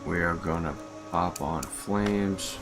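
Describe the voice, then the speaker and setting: A low-voiced man streams a video game with casual commentary. He talks informally, half to himself, while game music plays clearly behind him. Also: takes it slowly. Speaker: streamer and mod creator.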